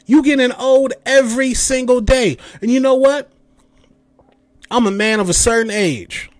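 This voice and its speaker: Late-thirties male podcast host, high-pitched, dry close mic, angry profane monologue. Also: a little slow, dry recording. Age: 30s. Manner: heated, profane, mocking rant with mimicked quotes. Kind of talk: ranting commentary.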